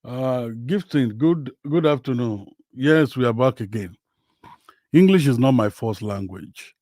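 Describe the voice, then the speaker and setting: Slow, hesitant Nigerian man. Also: medium pitch, animated, darkish tone, no room sound, clean studio sound. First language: Yoruba. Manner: slow, hesitant, many fillers. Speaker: livestream host. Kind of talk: casual football talk livestream. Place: home, in Western Australia.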